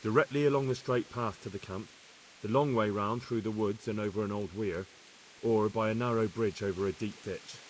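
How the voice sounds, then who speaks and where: Game role: male actor as humble young soldier reporting to his superior, fairly low, plain and respectful. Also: fairly even intonation, moderate pace, a little hiss or hum, close to an everyday voice, low-quality recording. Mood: careful, matter-of-fact. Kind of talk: video game cutscene acting.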